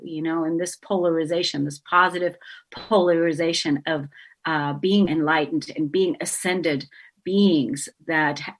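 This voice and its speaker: Woman, low voice, guest host, spiritual talk radio, earnest, reflective, pauses on ums, remote call.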